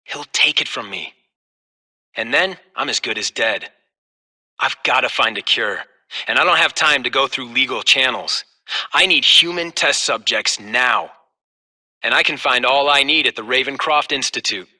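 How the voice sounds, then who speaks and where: A man's voice: mid-range voice, moderate pace, sharp tone. A man playing a desperate, ruthless and paranoid company heir in a video game, delivering a clipped, scheming monologue. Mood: determined, ruthless.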